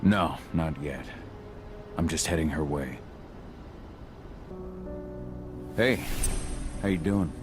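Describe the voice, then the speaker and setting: Gruff but caring dad in a video game, super deep voice, loud music behind him. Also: male voice, a little slow. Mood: casual, gentle. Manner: low-key, reassuring, fatherly. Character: gruff, caring father and eco-warrior field agent. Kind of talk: video game cutscene acting.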